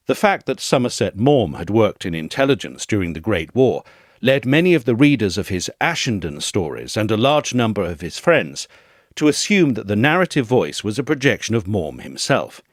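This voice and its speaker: A man narrates a literary essay in a lowish, widely varied voice, shaping each phrase with measured, articulate care. The recording is dry and close, with no room sound. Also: very animated, dry recording. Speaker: audio essay reader.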